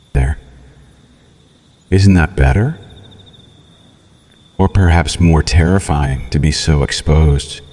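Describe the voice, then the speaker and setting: A man's voice: very deep voice, a little mellow, heavily acted. A man playing a cruel, sadistic vampire captor in an ASMR roleplay, menacing and mocking in a theatrical way. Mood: taunting, contemptuous. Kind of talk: ASMR roleplay, scripted villain monologue.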